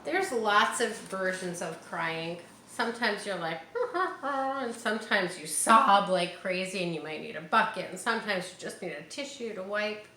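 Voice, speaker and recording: A woman's voice: mid-range voice, normal speed, hardly any pauses, bright, forceful tone. A woman reading a picture book aloud to children, speaking to them directly and encouragingly with casual asides. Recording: roomy echo, faint background noise.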